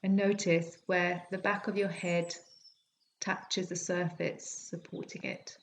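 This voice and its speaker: Low-pitched woman guiding relaxation over forest birdsong. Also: slight background noise. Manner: gentle, soothing, unhurried instruction with long pauses. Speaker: yoga nidra teacher. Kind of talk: guided relaxation meditation. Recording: birdsong and forest sounds behind voice. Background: calming forest nature sounds with birds.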